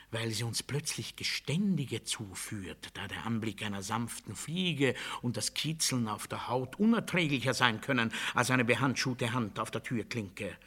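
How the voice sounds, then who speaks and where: A man's voice: a little high, bright, forceful tone, heavily acted. A man playing a cold, menacing official of a brutal regime in a radio play, speaking in formal, emphatic, declamatory sentences. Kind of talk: German radio play monologue, formal instructional oratory.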